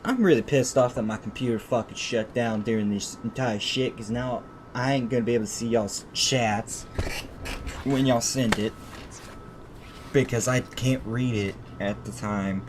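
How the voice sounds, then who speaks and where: A man's voice: mid-range voice, slight background noise. A man live streaming a game, switching between blunt, sweary chat and reading story text aloud.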